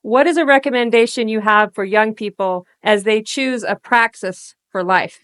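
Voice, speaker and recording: A woman moderating a devotional panel, posing questions in a friendly, brisk way. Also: on the high side, fairly bright tone, clear, resonant tone, dry recording.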